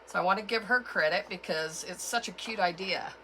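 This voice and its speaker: A woman with a cold and a froggy, hoarse voice, chatting casually as she walks through a craft tutorial at home. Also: on the low side, background noise. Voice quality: froggy, gravelly. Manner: chatty, unhurried, with fillers and self-corrections. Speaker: craft channel host.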